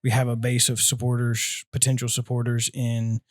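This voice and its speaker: Man, twenties, from North Carolina, interview guest, easygoing, halting. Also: mid-range voice, monotone, dry recording, clean studio sound. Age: 20s. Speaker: guest, aspiring missionary. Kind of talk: casual interview answers.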